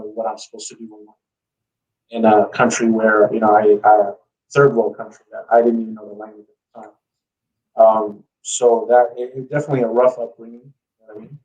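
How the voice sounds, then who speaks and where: A man's voice: lowish voice, darkish tone, distant mic, poor audio. A man telling his story as a guest in a casual interview, speaking informally with lots of "you know". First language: English.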